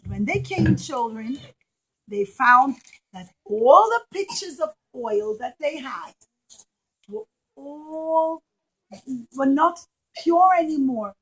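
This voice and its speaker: Female children's storyteller, high voice, emphatic and repetitive delivery for a young audience. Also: lively intonation, slow pace, pauses often, a bit bright, low-quality recording. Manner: emphatic, repeats phrases for children. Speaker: children's storyteller and puppet show presenter.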